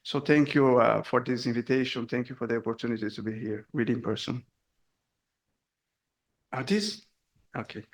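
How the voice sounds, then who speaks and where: Gravelly, halting Brazilian-born man. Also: medium pitch, fairly even intonation. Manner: hesitant, many fillers, chuckles at himself. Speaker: guest speaker. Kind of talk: academic presentation. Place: seminar hall.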